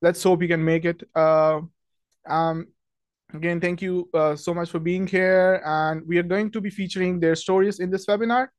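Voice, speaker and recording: A man's voice: high voice, little pitch movement, normal speed, no echo. A young man from Pakistan hosting a webinar in a friendly, chatty way, with lots of ums and restarts. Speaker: webinar host, product marketing manager. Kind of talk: casual webinar presentation.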